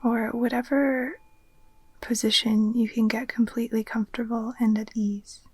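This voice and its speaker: A woman gently guiding a relaxation meditation in a soft, breathy, near-whispered voice, soothing and unhurried. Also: a little high, flat delivery, no room sound. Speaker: yoga nidra teacher. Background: occasional chimes.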